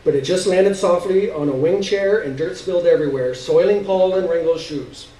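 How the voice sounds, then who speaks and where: Higher-voiced man reading, roomy and distant. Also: normal speed, warm tone. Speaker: story reader. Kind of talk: expressive live literary reading. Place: live stage reading indoors. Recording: roomy, distant stage recording.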